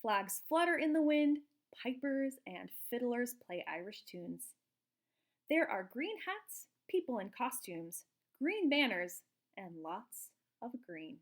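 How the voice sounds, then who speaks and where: A woman reading a picture book aloud to young children in an expressive, explanatory way, like a teacher. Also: fairly high pitch, slow. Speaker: children's storybook reader, teacher.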